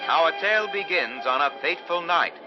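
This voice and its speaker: A man's voice: a little high, animated, brisk, natural voice. Man as a melodramatic radio announcer over music. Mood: suspenseful. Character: melodramatic old-time radio serial announcer, grandly mysterious. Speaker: narrator and announcer. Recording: dull vintage radio recording over loud music.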